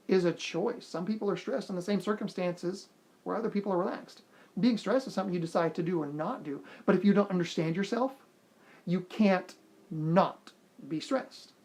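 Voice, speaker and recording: An earnest man speaks straight to his viewers with motivational advice. He encourages them warmly and repeats his key lines to drive them home. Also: high-pitched. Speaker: motivational video host. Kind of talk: motivational self-help talk to camera.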